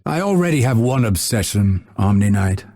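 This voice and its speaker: An actor playing a proud, scholarly male assassin in a video game, speaking in a measured, formal, dryly witty and haughty way with a husky voice. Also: on the low side, lively intonation, dark tone. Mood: cool, dry. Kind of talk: video game character voice lines.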